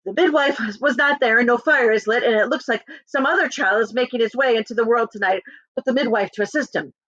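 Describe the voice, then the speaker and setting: A woman's voice: on the high side, quick pace, fairly bright tone, no echo, highs cut off, voice pushed up. A woman reading the part of a sullen medieval manservant reporting back, in a storybook. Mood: resigned.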